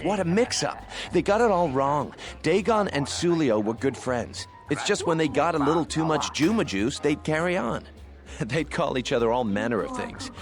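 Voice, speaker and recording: A man's voice: mid-range voice, natural voice. A man playing an amiable, hard-drinking cantina card player in a video game, chatty and colourful. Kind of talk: video game character dialogue.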